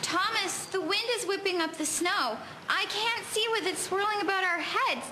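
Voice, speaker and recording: A woman's voice: very high-pitched, moderate pace. An actress playing a plucky, resolute young woman in a scripted audio drama. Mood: alarmed, urgent. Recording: echoey, noisy ambient recording.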